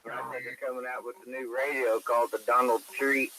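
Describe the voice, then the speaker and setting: A man's voice: medium pitch, flat delivery, normal speed, a crowd in the background. An American man in casual, joking chat over a CB radio. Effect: CB radio transmission. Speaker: CB radio operator.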